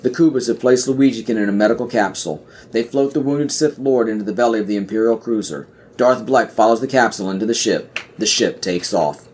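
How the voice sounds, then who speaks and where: A man's voice: lowish voice, brisk, darkish tone. A man reading scripted scene directions as the narrator of a podcast audio play.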